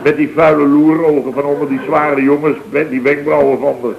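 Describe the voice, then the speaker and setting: An actor playing a blustering, self-important middle-aged boss telling indignant, rambling stories in 1970s radio comedy style. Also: male voice, a little high, level tone, a little fast, vocal fry, a crowd in the background. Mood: indignant, mocking. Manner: exclamatory, rambling, rhetorical questions. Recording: dull vintage radio recording.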